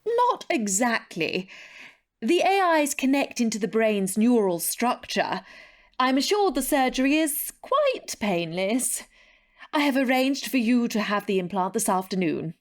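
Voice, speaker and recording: Brisk, unflappable spy chief. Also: female voice, on the high side, sing-song. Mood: persuasive. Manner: formal, dryly authoritative. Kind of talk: sci-fi audio drama dialogue.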